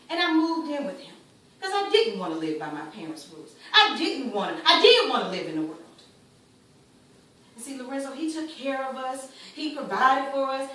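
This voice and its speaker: Woman playing anguished devout mother, high bright voice, pleading, theatrical monologue, emphatic pauses. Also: heavily acted. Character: anguished devout mother grieving for her wayward daughter. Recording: echoey room, distant mic, faint noise.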